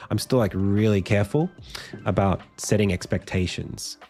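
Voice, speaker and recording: Man, low croaky voice, tired, casual streamer, many fillers and restarts, faint music behind. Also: moderate pace, warm tone. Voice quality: deep, croaky voice. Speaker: indie game developer streaming. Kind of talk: casual live stream talk.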